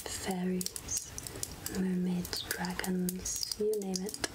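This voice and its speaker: A woman voicing a friendly, centuries-old witch of the woods in a soft-spoken ASMR roleplay, gentle and musing in a breathy whisper. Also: on the low side, monotone, very noisy. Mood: gentle, helpful. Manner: slow, gentle, musing, archly addressing a mortal. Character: three-hundred-year-old witch of the woods, friendly, wryly curious.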